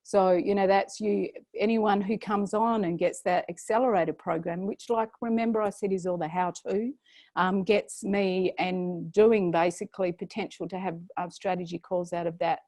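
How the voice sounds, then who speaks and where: A woman with an Australian accent presenting a paid program in a chatty, filler-heavy way, her voice going slightly hoarse. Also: mid-range voice, steady tone, normal speed, darkish tone, dry recording. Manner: chatty, many fillers and restarts. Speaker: program host presenting an offer. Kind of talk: webinar sales pitch.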